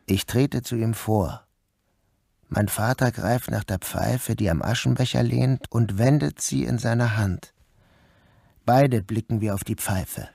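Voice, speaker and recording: A man's voice: mid-range voice, a little slow, gravelly, darkish tone, dry recording, studio quality. A man acting a troubled, bewildered son who narrates in a literary first-person radio drama. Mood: dreamlike, brooding. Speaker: narrator and protagonist.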